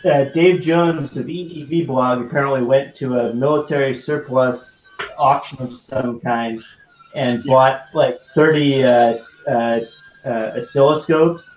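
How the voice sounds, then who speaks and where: A man's voice: mid-range voice, steady tone, measured pace. A man in his forties chats casually as a show host, rambling and hesitant. The room is echoey. A faint noise sits under his voice. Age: 40s. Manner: rambling, hesitant with many fillers. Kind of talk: casual group chat.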